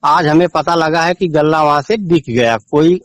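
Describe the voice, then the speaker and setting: A man with an eastern Uttar Pradesh accent, a villager making a complaint over a recorded phone call, speaking haltingly and respectfully. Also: a little high, dry recording. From Azamgarh region, India. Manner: deferential, halting. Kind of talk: answering questions in a phone call.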